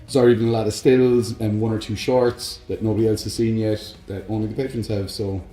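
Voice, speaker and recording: A man's voice: lowish voice, little pitch movement, fairly quick, warm tone. A man co-hosting a podcast, chatting casually about films and games. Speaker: podcast co-host. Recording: roomy echo, faint music behind.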